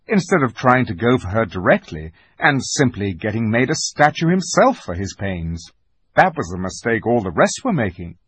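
A man's voice: mid-range voice, slightly rough, dry recording, pitched above the performer's own voice. A man voicing an earnest teenage boy war leader in a storybook, speaking emphatically and urgently about battle. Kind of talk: dramatised audiobook reading of a children's fantasy.

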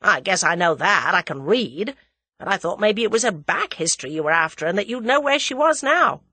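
A woman's voice: mid-range voice, big pitch swings, a little fast, bright, forceful tone, no echo, full character voice. A woman voicing a brash, impatient American man in his thirties, blunt and slangy, in a storybook reading. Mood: blunt, easing.

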